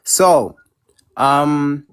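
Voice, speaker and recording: A man's voice: a little high, extremely slow, clean tone. A man making an assertive, point-by-point rebuttal in an online debate. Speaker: debate participant.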